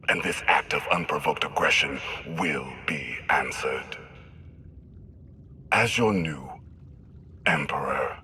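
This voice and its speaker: An actor playing a cold, ambitious usurper prince in a video game, speaking in formal, menacing, theatrical oratory. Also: male voice, rumbling low, bright, forceful tone, slight background noise, full character voice. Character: ambitious male prince usurping his father's throne, cold. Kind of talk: video game cutscene acting.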